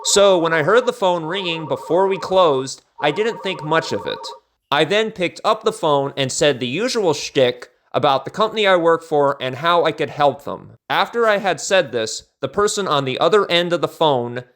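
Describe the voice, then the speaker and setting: A male storyteller with a somewhat higher-pitched voice. He delivers a scripted first-person tale in measured phrases, with a theatrical touch to his narration. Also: lively intonation, fairly bright tone.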